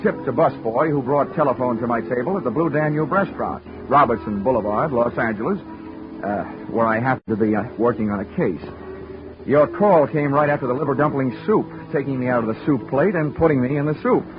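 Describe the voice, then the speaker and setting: A man's voice: medium pitch, brisk, lightly acted. An actor playing a dry, wisecracking insurance investigator who narrates his own case, in 1940s radio acting style. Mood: wry narration. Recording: muffled old radio recording with music.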